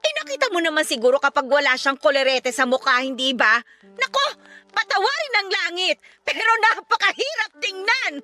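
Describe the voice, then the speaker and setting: A woman playing a sharp-tongued, bossy, gossipy aunt in a Filipino radio drama, exclaiming bluntly with a Filipino accent. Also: extremely high pitch, animated, normal speed, dry recording, heavily acted. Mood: mocking, gossipy.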